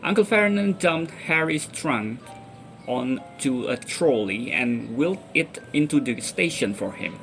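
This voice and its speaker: A man's voice: medium pitch, expressive, measured pace, a bit bright. A young man giving a dramatic reading of a children's fantasy novel. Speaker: reader. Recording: clear music behind the voice. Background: music.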